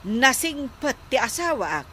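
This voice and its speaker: An actress playing a patient, polite young wife in a radio comedy drama, speaking softly and respectfully. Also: female voice, high voice, sing-song, a little slow, slight background noise, exaggerated voice.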